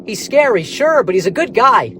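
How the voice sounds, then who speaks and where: Casting: male actor as loyal boyish sidekick, high, lively, eager voice, noisy background. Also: normal speed. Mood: earnest, defensive. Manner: eager, earnest. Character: loyal, spirited boy sidekick soldier. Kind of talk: audio drama acting.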